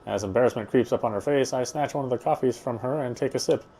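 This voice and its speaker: A man's voice: mid-range voice, fast talker, warm tone, a little hiss or hum. A man narrating a visual novel as he plays it, reading the prose aloud with casual asides.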